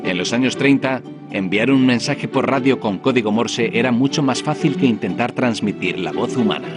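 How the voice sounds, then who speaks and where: A man's voice: mid-range voice, normal speed. A man reading a scripted documentary narration. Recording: music behind the voice. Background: background music.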